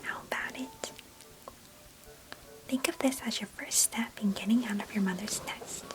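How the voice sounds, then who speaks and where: A chatty young girl cousin, acted by a woman, whispers close to the microphone in an ASMR roleplay. Her voice is breathy and fairly high. She is sheltered, affectionate and gently mischievous, with quaint storybook phrasing. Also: moderate pace, close to an everyday voice, rough recording. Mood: coaxing, mischievous.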